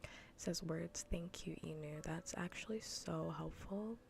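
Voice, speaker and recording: A woman streaming in a soft, breathy, often whispered ASMR voice, chatting informally and playfully close to the mic. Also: lowish voice, a little slow. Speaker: streamer hosting a live cozy gaming broadcast. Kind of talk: soft-spoken ASMR casual chat. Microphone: close ASMR microphone.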